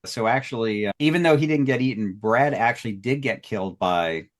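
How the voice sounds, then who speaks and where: A man's voice: medium pitch, a little fast. A man in a casual panel chat, talking as the writer of an audio drama.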